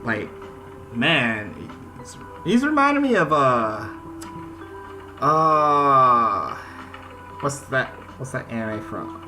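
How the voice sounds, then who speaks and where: A man hosts a let's-play in a casual, informal way, reading on-screen text and commenting on it. His voice sits at an average pitch, with music clearly playing behind him. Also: very animated, drawn-out words, pauses often, bright, forceful tone. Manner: informal, reacts aloud to what he reads. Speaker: gaming video host. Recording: music under the voice.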